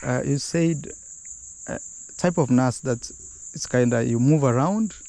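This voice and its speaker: A man's voice: mid-range voice, a little slow, creaky, warm tone, dry recording. A man hosting a casual travel vlog outdoors, speaking to viewers as "guys". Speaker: vlogger host. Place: outdoors on a road near a beach.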